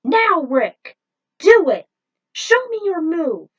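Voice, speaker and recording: A woman's voice: very high-pitched, very animated, takes it slowly, lo-fi sound, voice pushed up, heavily acted. A woman voicing a bold, cocky veteran male racer who barks commands and taunts in a fan-fiction story. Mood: urgent, commanding. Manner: forceful, taunting, barking commands.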